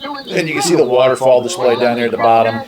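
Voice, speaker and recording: Man demonstrating gear over clear background noise. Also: mid-range voice, quick pace. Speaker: radio reviewer. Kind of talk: casual hands-on product demonstration. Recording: clear radio static behind the voice. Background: shortwave radio noise.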